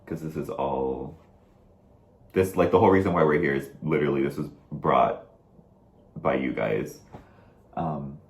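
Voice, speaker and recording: Very deep-voiced young man, chatty. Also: measured pace, long pauses, reverberant. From Dallas, Texas. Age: young adult. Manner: chatty, lots of "like" and "I mean". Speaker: co-host. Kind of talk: casual chat. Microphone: video call.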